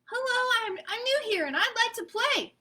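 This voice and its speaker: A woman doing a high, bright little peanut butter boy, sing-song, hopeful, very lively swoops, storybook reading. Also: normal speed, heavily acted. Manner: sing-song rhyming plea.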